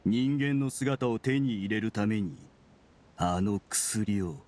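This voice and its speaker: An actor playing a bitter, sneering researcher turned criminal, sardonic and argumentative, in an anime-style Japanese game. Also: male voice, lowish voice, slow pace, darkish tone. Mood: mocking.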